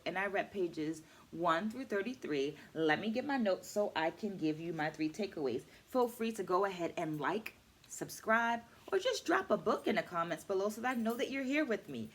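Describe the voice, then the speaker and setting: A middle-aged woman hosting a book club vlog, explaining to camera with deliberate, emphatic phrasing. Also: mid-range voice. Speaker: host of a virtual book club, educator. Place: small furnished room at home, indoors.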